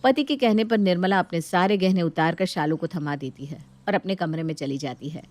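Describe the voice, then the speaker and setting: A woman's voice: fairly low pitch, a little fast, a little mellow, no room sound. Female story narrator, scripted delivery for a bedtime moral tale, dry recording.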